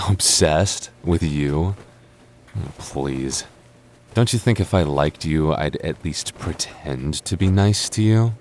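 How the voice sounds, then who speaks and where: A deep-voiced young man, played by a male voice actor in an intimate audio roleplay. He is a defensive, teasing childhood friend hiding his affection. His delivery is halting and stammering, quick to bicker yet secretly smitten. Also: darkish tone. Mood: sardonic, bickering. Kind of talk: intimate boyfriend ASMR roleplay acting.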